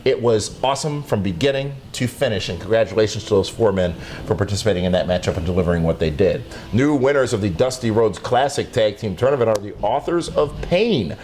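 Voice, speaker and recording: A man's voice: expressive, brisk, hardly any pauses, darkish tone, a little hiss or hum. A man hosting a wrestling talk show, running through the news and needling his co-host. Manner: teasing, roasts his co-host, pitches the show to viewers.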